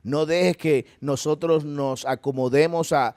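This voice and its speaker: Earnest Puerto Rican pastor, dry sound. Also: male voice, on the high side, fairly even intonation, measured pace, a little mellow, no room sound. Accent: Puerto Rican Spanish. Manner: earnest, conversational preaching with asides. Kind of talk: church talk and closing prayer. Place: church hall.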